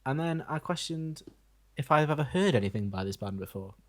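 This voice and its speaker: A man co-hosting a music podcast, giving his opinions in casual chat with wry, deadpan humour. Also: on the high side, brisk.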